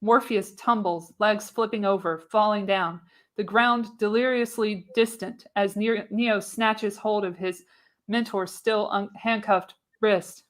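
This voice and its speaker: A woman's voice: medium pitch, steady tone, measured pace. A woman narrating screenplay directions for a live radio play, reading dramatically with the odd stumble. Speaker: narrator, show host.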